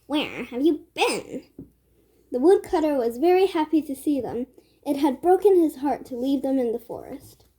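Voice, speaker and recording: A woman storyteller narrating a children's read-aloud tale with measured phrasing. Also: high-pitched, animated, mellow.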